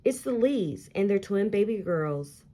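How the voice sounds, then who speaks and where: A woman reading a children's story aloud in an expressive, deliberate storytelling style. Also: mid-range voice. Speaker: picture book read-aloud reader.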